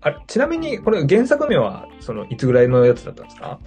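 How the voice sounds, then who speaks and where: Lively, quick male co-host over music. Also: fairly high pitch. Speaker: podcast co-host. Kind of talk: casual chat, reacting and asking questions.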